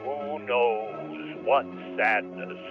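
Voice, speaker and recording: Casting: mock-sinister 1930s radio mystery narrator, very slow, swooping delivery, thin phone-band sound, faint music. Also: male voice, fairly low pitch, sing-song, narrow, tinny audio, full character voice. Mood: ominous, theatrical. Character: mysterious male radio mystery announcer, a Lone Ranger parody. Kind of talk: 1930s radio drama acting in a car dealer ad.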